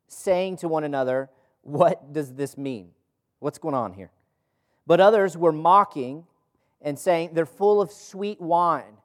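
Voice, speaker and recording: A man leading a group Bible study in an informal, conversational preaching style, asking rhetorical questions and repeating phrases for emphasis. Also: a little high. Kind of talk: informal teaching sermon with scripture reading. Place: room with a group gathered.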